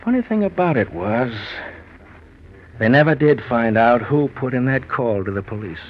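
An actor playing a world-weary police detective, dry and clipped, in 1940s radio noir style. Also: male voice, mid-range voice, expressive, normal speed, dull audio, background noise, close to an everyday voice. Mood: dry, knowing. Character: world-weary, sardonic male Chicago police detective sergeant. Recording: band-limited 1940s radio with music.

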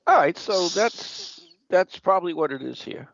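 A man's voice: high-pitched, normal speed, gravelly, dry recording. A man hosting a casual radio show, chatty and rambling with fillers. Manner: lively, chatty, trails off with fillers. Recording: dull lo-fi dry audio.

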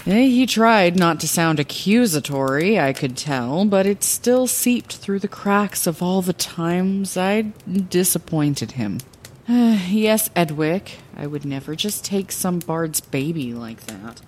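A woman's voice: on the low side, expressive, takes it slowly, darkish tone, a little hiss or hum. A woman playing a wry, impulsive lighthouse keeper who narrates her adventures theatrically in a fantasy audio drama. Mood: defensive.

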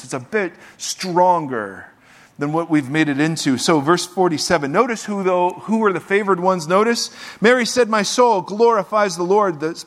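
A man preaching to a congregation, his voice sitting a little high for a man. He reads scripture aloud and breaks off into loose, joking asides to the people in front of him. The delivery is relaxed and conversational. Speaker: pastor. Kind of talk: sermon. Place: church with live congregation.

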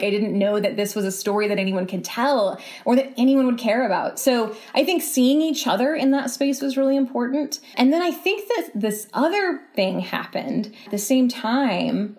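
A woman's voice: medium pitch, darkish tone. Thoughtful woman explaining in an interview. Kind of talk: thoughtful podcast interview conversation.

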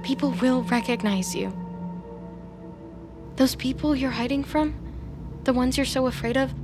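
High-pitched, intense young woman nursing old grudges. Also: a little slow, music in the background. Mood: urgent, pressing. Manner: sarcastic, wordy, insistent. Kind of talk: audio fiction drama acting.

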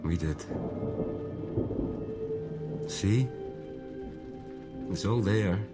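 An actor playing a nostalgic brother who coaxes out shared memories, in naturalistic audio drama. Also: male voice, low voice, slow, loud music behind the voice. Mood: reminiscing, coaxing.

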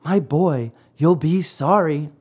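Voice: medium pitch, slow pace, a little mellow, slightly rough, voice pushed up. A narrator as a patient, motherly storybook fairy. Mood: grave warning. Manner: loving, coaxing, patient. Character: gentle, patient motherly fairy woman, lovingly firm. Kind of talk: children's storybook character voice.

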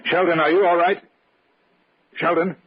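A man's voice: high voice, level tone, moderate pace, slightly rough. An actor playing an alarmed, urgent man in old-time radio horror drama style. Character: alarmed man investigating a scream at night. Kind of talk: 1940s radio drama acting. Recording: muffled old radio, faint noise.

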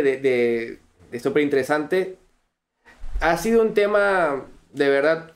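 A young man with a Venezuelan accent, a podcast guest telling his story earnestly and fluently, with frequent restarts. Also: on the high side. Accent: Venezuelan Spanish. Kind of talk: podcast interview.

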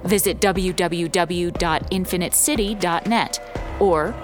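A woman announcer reading scripted credits in a measured, formal way. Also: lowish voice. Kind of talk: scripted narration. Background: music.

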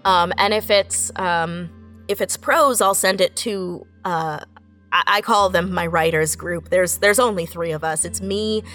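A woman's voice: fairly low pitch, measured pace, faint music behind. A woman giving casual interview answers as an audio drama writer, animatedly acting out her own thoughts as she tells things. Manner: animated storytelling, acts out own thoughts in quotes.

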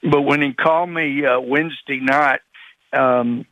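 A man from East Texas, slightly raspy, chatting in a folksy way full of fillers as a guest. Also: mid-range voice, fairly even intonation, measured pace, dry recording. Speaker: congressman guest. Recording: dull lo-fi line.

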